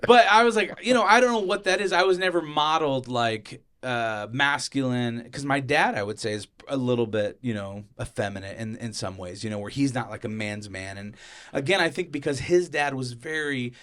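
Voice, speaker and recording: A young man with what he calls a high voice, chatting casually on a podcast with lots of fillers. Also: normal speed, fairly bright tone. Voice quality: self-described high voice. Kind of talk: casual conversation.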